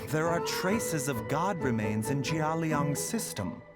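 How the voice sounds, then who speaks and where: A woman playing a calm, courteous, enigmatic healer in a fantasy video game, with measured, formal delivery. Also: low-pitched. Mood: measured, grave. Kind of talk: video game character dialogue. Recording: game music playing behind the voice.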